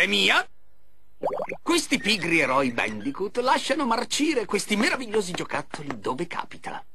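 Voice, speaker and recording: A grandiose, resentful cartoon mad scientist. His high voice lurches wildly up and down in an over-the-top delivery. There is clear noise behind him. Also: sharp tone, full character voice. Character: boastful, resentful mad scientist sidekick, adult man. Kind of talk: Italian cartoon dub for a video game.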